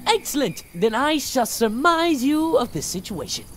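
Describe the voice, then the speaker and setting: A woman's voice: high-pitched, big pitch swings, normal speed, fairly bright tone, a little hiss or hum. A woman voicing a small, eager male lizard envoy in a visual novel, with formal, flourishing, courtly speech. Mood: eager salesmanship. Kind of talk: visual novel character acting. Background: music.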